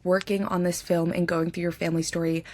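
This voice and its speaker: A woman's voice: fairly low pitch, level tone, fast, darkish tone, dry recording. A woman interviewer in a conversational interview, speaking in an articulate, supportive and affirming way. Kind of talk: conversational film festival interview.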